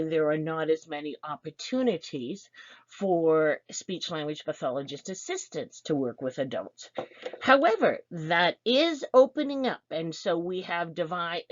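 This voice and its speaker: A woman walks listeners through a program in an information session, pausing on fillers and restarting her sentences. Her voice sits fairly low. The recording is dry and close, with a dull, lo-fi sound. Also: no room sound. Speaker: program instructor. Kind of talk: online information session presentation.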